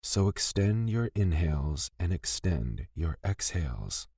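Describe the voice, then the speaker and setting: A man leading a scripted guided meditation in a gentle, soothing and deliberate manner, pausing between phrases. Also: sits low, sing-song, slightly rough, no room sound, clean studio sound.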